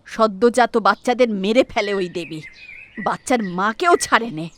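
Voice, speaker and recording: A woman's voice: high-pitched, fairly bright tone, slight background noise. An actress voicing an older village mother-in-law who grievingly tells of a cursed idol and warns her daughter-in-law. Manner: storytelling, grieving, warning.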